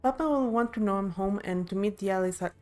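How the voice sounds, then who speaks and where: A woman's voice: medium pitch, quick pace, darkish tone, faint music behind, lightly acted. Woman voicing an eager young princess adventurer. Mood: upbeat, eager. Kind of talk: voicing unvoiced game dialogue.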